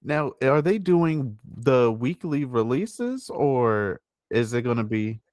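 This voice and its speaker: A man's voice: mid-range voice, very animated, takes it slowly, a little mellow, dry recording, studio quality. A man co-hosting a podcast with casual chat and commentary. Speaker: podcast host.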